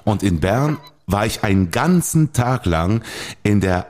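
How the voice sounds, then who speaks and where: A man with a Bernese Swiss German accent chatting on a podcast, telling stories in a halting, hesitant way. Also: on the low side, lively intonation, a little mellow. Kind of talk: casual podcast chat.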